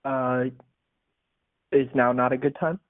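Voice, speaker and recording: A man on the receiving end of a sales call answers in short, hesitant bits. His mid-pitched voice comes through a narrow, tinny phone line. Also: fairly even intonation, slow pace. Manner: brief, hesitant replies. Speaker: sales prospect.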